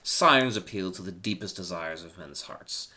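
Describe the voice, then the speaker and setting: Irritable storybook philosopher, played by a male reader, pedantic and scornful, with a lively melodic range. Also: deep voice, moderate pace, full character voice. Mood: grumbling, scornful. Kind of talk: humorous storybook dialogue.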